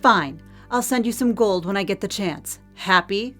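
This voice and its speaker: A woman's voice: medium pitch, moderate pace, fairly bright tone, soft background music, natural voice. A woman acting in an audio drama as a hard-edged, unflappable head of security who speaks in pointed, sardonic questions. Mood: hard, resentful.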